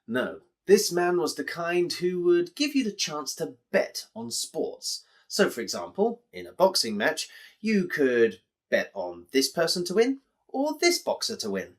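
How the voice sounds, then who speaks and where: Animated English storyteller with lively pitch. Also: male voice, a little slow. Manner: expressive, explanatory, addressing listeners directly.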